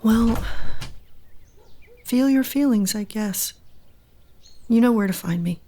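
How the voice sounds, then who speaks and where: A woman's voice: fairly high pitch, slow, dark tone, a little hiss or hum. An actress playing a tough, sardonic woman in an audio drama, blunt and dry in her delivery. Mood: hurt, firm. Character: tough, wounded, sardonic woman standing up to men.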